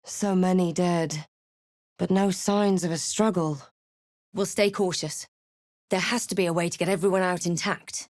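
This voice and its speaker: A woman's voice: fairly low pitch, steady tone, normal speed, a little mellow, no echo, lightly acted. Woman doing a wary snarky halfling heroine, rpg voice lines, dramatic and wry, super dry studio sound. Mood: wry, watchful. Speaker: player character in a fantasy video game. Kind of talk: scripted video game voice lines and narration.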